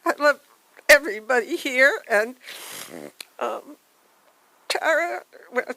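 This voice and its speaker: An older woman with a soft voice giving a halting, heartfelt tribute at a memorial gathering. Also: sits high, animated, no echo, low-quality recording. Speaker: memorial speaker.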